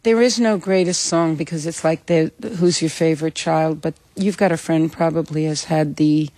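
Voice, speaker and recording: A woman speaking thoughtfully and warmly about a song she loves, then joking about her own singing. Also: low voice, fairly even intonation, normal speed, dry recording. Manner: thoughtful, self-deprecating, breaks into song. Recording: piano playing behind.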